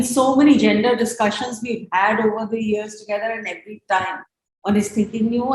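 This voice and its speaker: Female audience speaker, fairly high voice, spontaneous reflective talk, echoey room. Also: slight background noise. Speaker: audience member sharing reflections. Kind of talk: unscripted personal reflection. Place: reverberant indoor event space.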